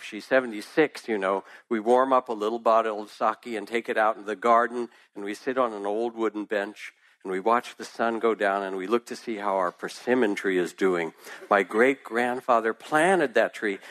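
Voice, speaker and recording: A man's voice: on the low side, a bit bright, lightly acted, low-quality recording. A man voicing a tiny, cheerful old man in his seventies who talks in an easygoing, delighted way.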